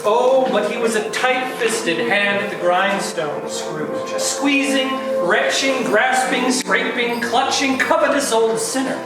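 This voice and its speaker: A man acting as the theatrical narrator of a comic Christmas tale in an amateur read, stressing words dramatically. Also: very high-pitched. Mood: dramatic, relishing. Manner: dramatic, drawn-out emphasis. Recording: cavernous echo, distant, music behind.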